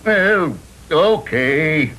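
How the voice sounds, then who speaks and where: An actor playing a short-tempered, stingy boss in a vintage cartoon commercial, bossy and bristling. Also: male voice, fairly high pitch, expressive, extremely slow. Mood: relenting.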